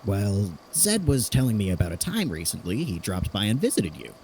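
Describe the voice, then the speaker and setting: Man acting very animated, curious theorist. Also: fairly low pitch, moderate pace, slight background noise. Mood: intrigued. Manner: animated, inquisitive, conversational. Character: curious, analytical male theorist, casually inquisitive. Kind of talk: audio drama dialogue.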